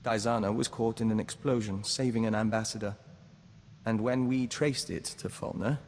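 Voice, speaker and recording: A man voicing a gentle, devoted diplomat of an insect hive mind, formal and measured, in a video game. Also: on the low side, fairly even intonation, natural voice. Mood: grave, formal. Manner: formal, measured, speaks of himself as we. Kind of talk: scripted video game dialogue acting. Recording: long reverb, noisy game ambience.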